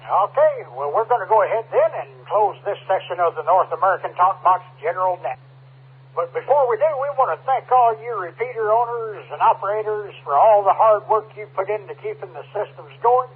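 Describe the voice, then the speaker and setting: A folksy man acts as net controller for an amateur radio check-in. His voice is high and rough with huskiness. It comes through a thin, narrow radio channel, and he courteously repeats his phrases. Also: brisk. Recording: tinny narrow-band ham radio transmission.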